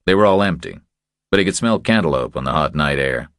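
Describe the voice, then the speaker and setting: A man's voice: a bass voice, animated, a little fast, fairly bright tone, no room sound. A man reading scripted crime fiction as an audiobook narrator, close on the microphone.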